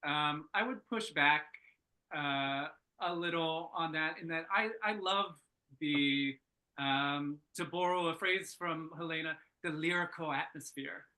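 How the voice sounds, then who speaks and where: A man working as a story editor, giving thoughtful spoken feedback in a panel discussion with tentative pauses. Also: on the high side, bright, forceful tone, poor audio.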